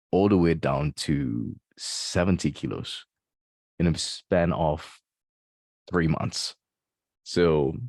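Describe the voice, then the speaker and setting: A man with a deep, resonant, bassy voice, a fitness coach telling his story in an interview. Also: a little mellow, dry recording, studio quality. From Malaysia.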